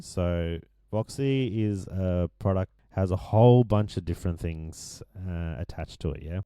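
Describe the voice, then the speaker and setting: A man with a deep voice that is going hoarse, casually walking through his vocal mix with many ums and restarts. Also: warm tone, dry recording, clean studio sound. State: losing his voice. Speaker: music producer explaining his mix. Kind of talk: casual screen-share tutorial.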